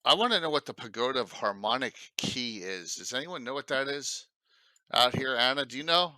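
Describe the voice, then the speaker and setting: A man with a Philadelphia accent hosting a casual chat, rambling and halting with many restarts. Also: mid-range voice, animated, pushed and bright, no room sound. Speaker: host.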